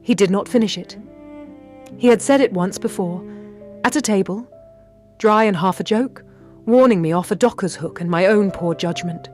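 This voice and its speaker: An actress playing a wry, brave 1930s London lady detective, narrating in a measured, literary British voice. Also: medium pitch, a little mellow, faint music behind, close to an everyday voice. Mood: spent, tender. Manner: measured, literary, dryly understated. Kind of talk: scripted first-person audio drama narration.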